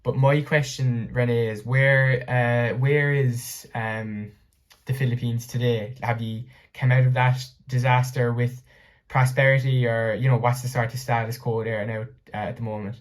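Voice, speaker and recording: A man's voice: medium pitch, flat delivery, normal speed. An Irish man hosting a podcast in casual conversation, talking with frequent fillers and restarts. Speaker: podcast host.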